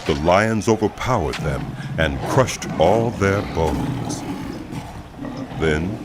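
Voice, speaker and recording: A man narrating a dramatized audio Bible in a measured, solemn way, with music behind. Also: low voice, animated, heavy background noise.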